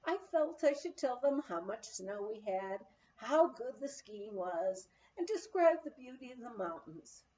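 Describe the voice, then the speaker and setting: High, animated woman reading a memoir. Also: measured pace, muffled recording, a little hiss or hum. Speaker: audiobook reader. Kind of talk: narrated memoir reading, anecdotal storytelling.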